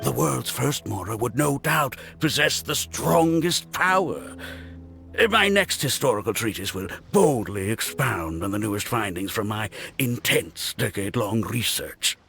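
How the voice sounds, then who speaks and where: A pompous gravelly archaeologist. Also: male voice, mid-range voice, animated, unhurried, sharp tone, faint music behind, exaggerated voice. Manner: boastful, lecturing and touchy. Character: pompous self-styled male archaeologist. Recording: game cutscene with faint score.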